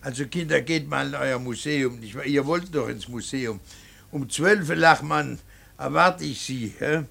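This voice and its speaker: An actor playing a stern, devout older painter and father in a radio play, halting and brooding, then preaching with emphasis. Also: medium pitch, sharp tone, exaggerated voice. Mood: formal, impatient. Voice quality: gravelly, husky. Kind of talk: German radio play acting.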